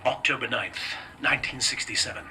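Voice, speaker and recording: An actor playing an educated, precise scientist recording a formal audio diary in a video game. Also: male voice, mid-range voice, fairly quick, background noise, natural voice. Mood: resigned, formal.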